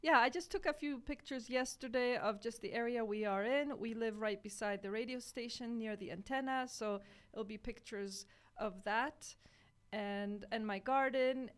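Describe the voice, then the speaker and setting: A woman from Poland whose first language is Polish, co-hosting a casual radio show. Her voice is smooth and resonant and pitched a little high. She explains things earnestly, with some hesitations. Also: normal speed. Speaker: radio podcast co-host. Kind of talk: casual chat.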